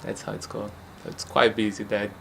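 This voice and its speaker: Young Brazilian man, Portuguese-accented English, easygoing and halting, fillers, faint background hiss. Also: on the low side, creaky. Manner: relaxed, hesitant, frequent fillers and light laughs. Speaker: futsal player. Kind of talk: casual interview. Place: home.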